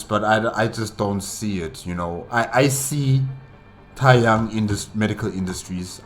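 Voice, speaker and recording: A man hosting a solo reaction video, talking casually and haltingly, repeating himself for emphasis. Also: fairly low pitch. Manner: halting, repetitive, emphatic about doubts. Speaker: reaction channel host.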